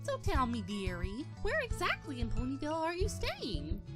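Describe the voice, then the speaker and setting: High, motherly pony shopkeeper played by a woman. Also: huge pitch swings, normal speed, music in the background. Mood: friendly, curious. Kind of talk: dramatized story reading.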